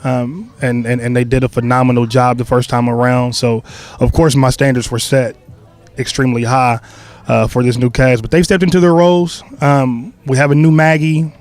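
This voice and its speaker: Relaxed young man, rambling answers. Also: mid-range voice, normal speed. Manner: relaxed, loose, full of fillers and restarts. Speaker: playwright and creator of a stage play. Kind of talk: casual interview answers.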